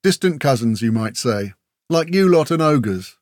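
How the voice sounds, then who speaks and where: A man's voice: medium pitch, expressive, a little slow, darkish tone, no echo, voice pushed up, lightly acted. Cheeky, talkative old-tale warrior, done by a male narrator: folksy, breezy, matey; fantasy audiobook, dry sound. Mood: chatty. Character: breezy, chatty male kinfolk warrior and teasing storyteller. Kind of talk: fantasy audiobook character dialogue.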